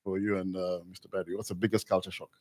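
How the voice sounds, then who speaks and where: A man co-hosting a casual chat, asking short questions and adding quick affirmations. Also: low voice, level tone, darkish tone, slight background noise. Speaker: co-host.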